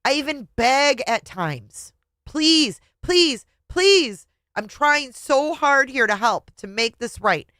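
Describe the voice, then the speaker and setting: A woman voicing an exasperated wife in a story, pleading and high-strung as she begs to be understood. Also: big pitch swings, measured pace, fairly bright tone, no echo, clean studio sound.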